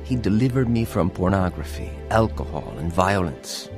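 A man's voice: low voice, expressive, moderate pace. An actor playing an earnest, troubled young navy man in a confessional radio drama. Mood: reflective narration. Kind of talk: radio drama narration and acting. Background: music.

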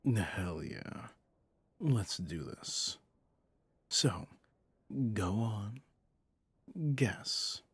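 A man playing a flirtatious, possessive mafia boss in an intimate ASMR roleplay, teasing and menacingly smooth, with a husky, breathy delivery. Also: expressive, no echo, full character voice. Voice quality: low, husky, often dropping to a whisper. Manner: slow, teasing, intimate, with pet names. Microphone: close studio microphone, dry.

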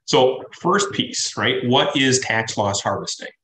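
A man's voice: medium pitch, slow pace, sharp tone, slight background noise. A man with a cold-stuffed voice, a financial planner giving a conversational webinar full of "right?" and "uh". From Midwest US. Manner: conversational, frequent "right?" and "uh" fillers. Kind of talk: webinar presentation. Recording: roomy office echo.

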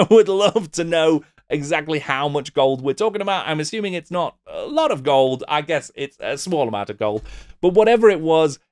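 Middle aged guy narrating a video essay, slightly high voice, close dry mic. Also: darkish tone, dry recording. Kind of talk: scripted documentary narration. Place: small book-lined home studio. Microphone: desk microphone up close.

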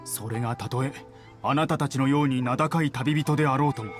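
A man's voice: medium pitch, brisk, over music, lightly acted. A man voicing a dutiful palace guard in a Japanese game, speaking in a polite, stiff, official manner. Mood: firm. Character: dutiful, formal young male palace guard. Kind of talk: Japanese anime game voice acting.

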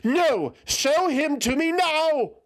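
A man's voice: sits high, sing-song, slow, voice pushed up, heavily acted. A man voicing a distraught young woman who pleads and refuses to accept a death, in an insistent, pleading manner. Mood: frantic. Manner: pleading, insistent, rising to shouts.

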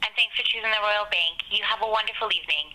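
A woman's voice: mid-range voice, fast, sharp tone. A woman working as a bank call agent, speaking in polite customer service phrases over the phone. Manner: polite, scripted. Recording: noisy telephone line with clicks.

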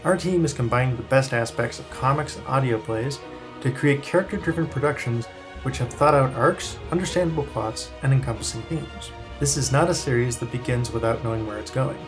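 A man with a medium-pitched voice narrates a project pitch. The delivery is scripted and promotional, with soft music underneath. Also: fairly quick. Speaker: writer-director presenting his project.

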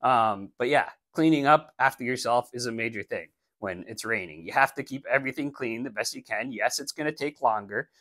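A man's voice: medium pitch, brisk, few pauses, no echo. A man in his forties who runs a landscaping business, giving a casual how-to talk to camera, blunt and practical, on a lapel mic in a small studio. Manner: blunt, practical, animated gestures while talking. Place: indoor studio, plain backdrop.

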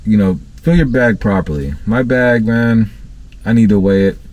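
A man in his thirties, an HVAC technician, giving casual training tips to camera from his work van, relaxed and conversational with many fillers and restarts. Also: lowish voice, normal speed, lo-fi sound. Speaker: HVAC technician and channel host. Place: parked work van, seated in driver's cab.

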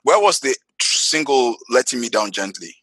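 Middle aged guy cohosting a video call, husky and dry, jokey. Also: big pitch swings, moderate pace, no room sound. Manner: teasing, laughs a lot, gets loud and high when joking. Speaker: co-host. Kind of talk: casual video-call interview. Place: indoor room at home. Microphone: headset on a video call.

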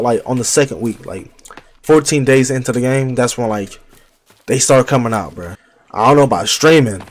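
A man's voice: mid-range voice, a little mellow. Slangy young man streaming games on headset. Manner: loose slang-heavy chatter, trash-talking enemies. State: recovering from a cold, voice deeper. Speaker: livestreamer. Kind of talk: casual gameplay commentary. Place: home gaming setup, indoors.

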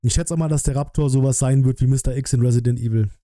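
A man's voice: medium pitch, fairly even intonation, fast, warm tone, no echo. A man, giving casual live-stream commentary in a colloquial, musing way, close on the mic. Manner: musing, colloquial, thinking aloud. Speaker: streamer reacting to a game trailer.

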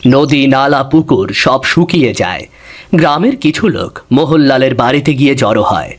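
A man's voice: medium pitch, very animated, normal speed. A man narrating a scripted fairy tale as storyteller.

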